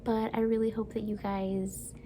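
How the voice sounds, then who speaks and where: A young woman from Brazil whose first language is Portuguese, chatting gently in a casual book review vlog and sometimes dropping to a soft whisper. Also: a little high, flat delivery, normal speed. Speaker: book vlogger. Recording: music playing behind the voice.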